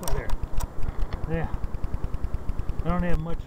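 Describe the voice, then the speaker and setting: Middle-aged guy with a high voice, talking to a handheld cam out in snowy woods, keeps repeating words, lots of noise. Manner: halting, repeats words often. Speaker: outdoors vlogger. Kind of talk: casual bushcraft walkthrough. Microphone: handheld camera at arm's length.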